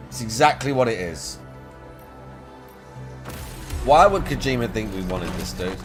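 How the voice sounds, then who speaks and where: A man's voice: medium pitch, expressive, a little slow, faint music behind. Young man, sarcastic gaming banter. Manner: sarcastic, profane, chatty. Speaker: gaming video host. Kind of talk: casual let's play commentary.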